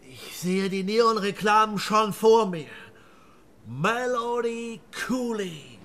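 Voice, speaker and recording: An actor playing a boastful cowboy father in a radio play, excited and dreaming big. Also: high-pitched, slow.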